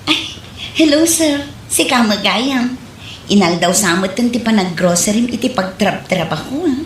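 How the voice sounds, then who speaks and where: A woman's voice: medium pitch, animated, measured pace, lightly acted. An actress playing an evasive young working wife and mother in an audio drama, casual and full of excuses. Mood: coy. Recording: roomy, clearly noisy recording.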